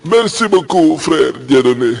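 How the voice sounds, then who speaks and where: A man's voice: fairly high pitch, lively intonation, measured pace, gravelly. A man playing a fervent, reverent host pastor in a comedy sketch, introducing a guest with solemn, exhortatory phrases. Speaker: pastor presenting a guest preacher. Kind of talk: comic church introduction.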